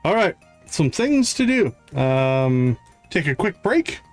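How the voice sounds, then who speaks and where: Male game streamer, medium-pitched and unhurried, casual self-talk with animated swings in pitch, music behind. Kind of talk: casual live gameplay commentary.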